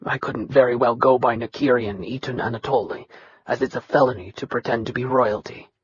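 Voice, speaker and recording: A narrator voicing a bitter young man from a royal family who speaks in a low, level, emotionless tone. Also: steady tone, fairly quick, muffled recording, a little hiss or hum, lightly acted. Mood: cold, bitter. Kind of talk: audiobook character reading.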